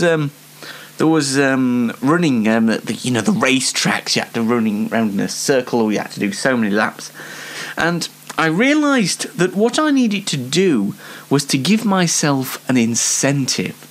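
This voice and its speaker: A man's voice: mid-range voice, very animated, unhurried. A young British man telling casual anecdotes as a show host, in a chatty, rambling and self-deprecating way. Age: young adult.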